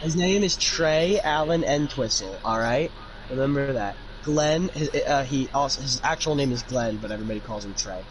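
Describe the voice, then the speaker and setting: A man's voice: mid-range voice, lively intonation, moderate pace. A young man reading fan fiction aloud casually and comically, breaking off into sweary asides. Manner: jokey, easily sidetracked, swears. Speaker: amateur fan fiction reader. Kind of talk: casual comedic read-aloud with joking asides. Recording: noisy amateur recording with room ambience.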